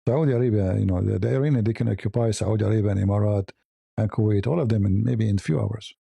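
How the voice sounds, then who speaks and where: A man's voice: lowish voice, quick pace, vocal fry, mellow, slightly rough, no echo. A man hosting a live news commentary stream, blunt and opinionated, rambling with hesitant fillers and speaking English as a second language. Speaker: host.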